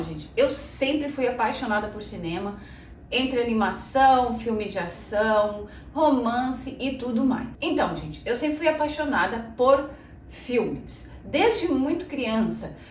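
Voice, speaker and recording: A Brazilian woman in her thirties talks casually to her viewers, chatty and personal, often tagging her sentences with little questions. Her voice sits a bit high for a woman. It carries the echo of a roomy space, with her a little away from the mic and faint noise underneath. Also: far from the mic. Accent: Brazilian Portuguese. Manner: chatty, addresses viewers as "gente", frequent "né". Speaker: channel host.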